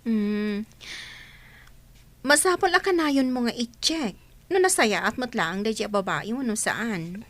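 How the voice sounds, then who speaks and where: A woman's voice: a little high, lively intonation, a little slow, pauses often. An actress playing a curious, polite woman giving advice in an Ilocano radio drama. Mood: curious, concerned. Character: curious, polite woman advising a male client.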